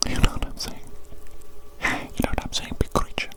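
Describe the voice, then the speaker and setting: A woman performing a whispered ASMR roleplay as an evil doctor who dotes on and scolds a monster creation, coaxing and repeating phrases. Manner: slow, repetitive, coaxing delivery.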